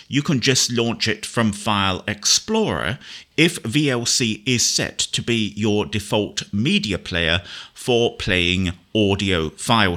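A man gives a spoken software demonstration with a bright, forward voice that sits slightly low. He explains each step methodically and precisely, in the manner of a practised instructor. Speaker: software tutorial presenter.

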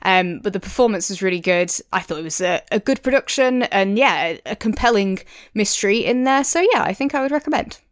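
A woman's voice: medium pitch, expressive, brisk, few pauses, no echo, clean studio sound. A woman in a casual review discussion as a podcast co-host, thinking aloud in a tentative, hedging way.

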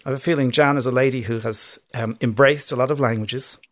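A man co-hosting a casual chat, playful and animated. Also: mid-range voice, a little fast, no room sound. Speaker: co-host.

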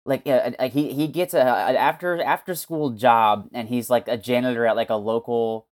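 A podcast guest who talks casually and improvises a story pitch, using lots of fillers. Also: moderate pace, darkish tone.

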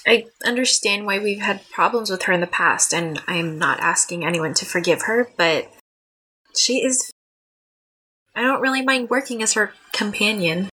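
A woman's voice: mid-range voice, normal speed, sharp tone, breathy edge, poor audio. A woman acting a considerate, apologetic younger sister, a young adult, earnest and hesitant, in a modern audio drama. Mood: earnest, defensive.

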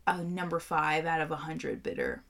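A woman's voice: low voice, wildly swooping pitch, normal speed, creaky, fairly bright tone. A young woman co-hosting a casual beer-tasting podcast, joking and swearing freely as she rates beers. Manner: swears freely, loose and playful. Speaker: podcast co-host.

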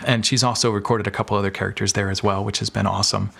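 A man's voice: on the low side, level tone, very fast, creaky, fairly bright tone. A man speaking as an interview guest in a small bedroom, explaining his audio craft informally with practical examples. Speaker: podcast guest, audio drama maker. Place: small bedroom with carpet, curtains and bare walls.

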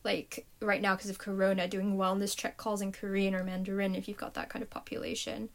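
A woman's voice: medium pitch, flat delivery, fairly quick, gravelly. Upbeat young woman telling a story. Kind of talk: casual chat.

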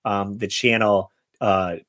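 Male podcast host, low voice, informal rambling movie chat. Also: steady tone, slow, a bit bright. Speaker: podcast host running a guessing game.

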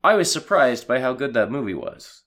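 A man with a hoarse voice from a cold, co-hosting a casual podcast chat and laughing as he talks. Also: medium pitch, normal speed. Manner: animated, laughing, swearing. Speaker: podcast co-host.

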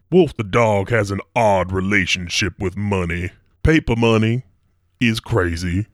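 A sleepy, foul-mouthed dog hosts a late-night radio spot, as a man puts him on. He drags his words out at a slow, lazy pace in a fairly low voice. He rambles into absurd asides, and the sound is dry and close. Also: dry recording. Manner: drowsy, rambling, crude and absurd. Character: sleepy, crude male dog radio host. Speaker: host of a recurring promo segment. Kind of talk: comic in-character radio spot.

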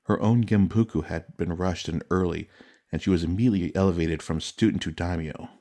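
A man with a very low-pitched voice narrates scripted fantasy fiction. His delivery is measured and dramatic, that of an audiobook storyteller. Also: warm tone.

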